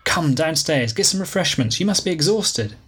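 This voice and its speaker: A man voicing a composed businesswoman, brisk and insistent, in a husky voice. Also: fairly high pitch, animated, a bit bright, pitched above the performer's own voice, heavily acted.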